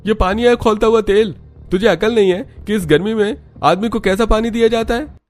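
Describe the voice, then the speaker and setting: A man voicing an angry, rude young village husband in a cartoon, loud, demanding and scolding. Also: sits high, fairly quick, soft background music, voice pushed up, lightly acted.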